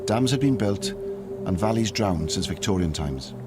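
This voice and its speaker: Man narrating a documentary, deep voice, even and measured, music under it. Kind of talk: scripted narration. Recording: studio voice-over with clear background music.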